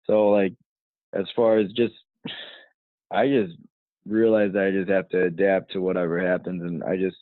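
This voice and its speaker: Low flat-voiced young man on a phone line. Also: normal speed, long pauses, dry recording. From United States. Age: 20s. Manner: rambling, full of "you know" fillers. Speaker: guest, fighter. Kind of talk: casual podcast interview.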